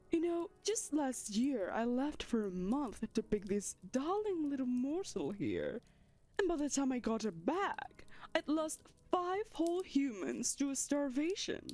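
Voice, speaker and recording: A woman's voice: high-pitched, darkish tone, exaggerated voice. A woman playing an ancient vampire mistress in an audio roleplay, syrupy, possessive, condescending and theatrical. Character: ancient female vampire mistress, saccharine, possessive, callously vain.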